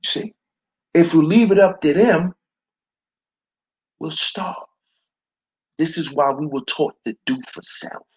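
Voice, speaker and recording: A man with a baritone voice hosting a slow, preachy commentary monologue, calling his listeners brothers. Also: slightly rough, rough recording. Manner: preachy, addresses listeners as brothers, repeats "see". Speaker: commentary channel host.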